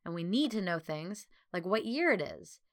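A woman voicing an earnest, worried young boy who explains things carefully and a little haltingly. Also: on the low side, big pitch swings.

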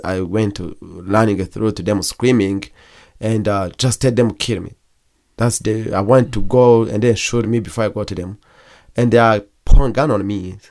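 Low-voiced young man, halting testimony. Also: darkish tone. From Democratic Republic of Congo. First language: Kigogwe. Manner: halting, with frequent pauses and restarts. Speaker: guest. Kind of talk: personal testimony in an interview.

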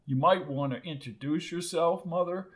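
A man's voice: fairly high pitch, moderate pace, fairly bright tone, natural voice. A man voicing a lanky younger man, a polite and embarrassed son.

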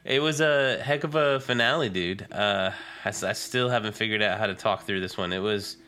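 A man's voice: lowish voice, a little fast, pushed and bright, faint music behind. A man co-hosting a podcast in casual, dude-peppered chat, sounding nasal and congested from a cold. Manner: informal, peppers talk with "dude". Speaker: podcast co-host. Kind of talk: casual chat about motorcycle racing.

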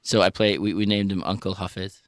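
A man's voice: low-pitched, flat delivery, a little fast, dry recording, studio quality. A man guesting on a radio interview, an actor and producer, chatty, stumbling over and restarting phrases, laughing easily.

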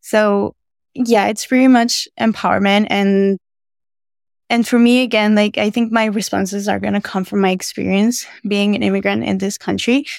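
A woman's voice: mid-range voice, steady tone, moderate pace, vocal fry, dry recording, studio quality. A young woman from Venezuela, a student intern, speaking thoughtfully in a casual podcast conversation. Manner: thoughtful, uses many fillers.

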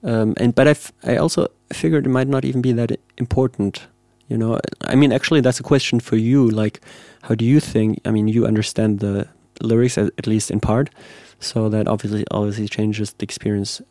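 A low, mellow-toned man talks in a flat, even line as a composer being interviewed. He is thoughtful and measured, searching for his words. The sound is dry and close. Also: dry recording. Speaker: composer guest. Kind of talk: reflective interview answers.

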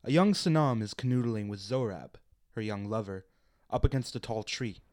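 An actor playing the narrator of a radio play, reading scripted scene descriptions. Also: male voice, fairly low pitch, animated, normal speed, darkish tone, natural voice. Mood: storytelling.

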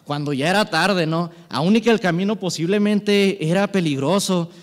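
High-voiced male preacher in a reverberant hall. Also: moderate pace. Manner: conversational, frequent rhetorical tag questions. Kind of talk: informal sermon. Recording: reverberant hall, long echo.

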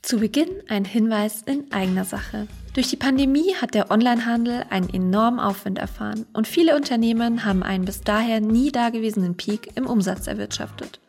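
A woman reading a scripted promotional spot in a smooth, even voice that sits fairly high. A soft music bed plays under her. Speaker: announcer.

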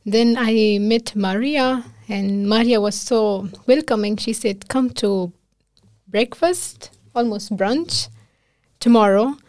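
A woman's voice: fairly high pitch, slow, darkish tone, no echo. Earnest Afghan-accented woman, dry sound. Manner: earnest, emotional storytelling with quoted speech and laughter. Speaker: guest, physician. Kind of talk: casual interview conversation.

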